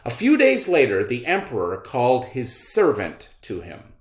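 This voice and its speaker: A man reading a storybook aloud in a deliberate, theatrical narrating style. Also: medium pitch, huge pitch swings. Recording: roomy echo.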